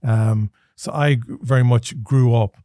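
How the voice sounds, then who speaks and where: Warm-voiced Irish man telling a story. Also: medium pitch, steady tone, unhurried, no room sound, studio quality. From Ireland. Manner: thoughtful, with frequent restarts and fillers. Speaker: podcast host. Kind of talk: reflective conversational storytelling.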